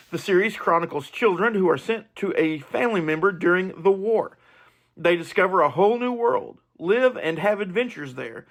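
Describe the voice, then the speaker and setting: A man's voice: high-pitched, fairly bright tone. A man hosting a podcast, giving a scripted monologue in an earnest, explanatory way. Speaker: podcast host.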